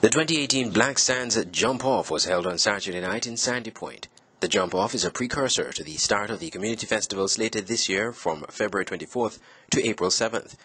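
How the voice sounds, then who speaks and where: A man's voice: lowish voice, brisk, a bit bright, slightly rough, no room sound. A man reading scripted news reports as a reporter.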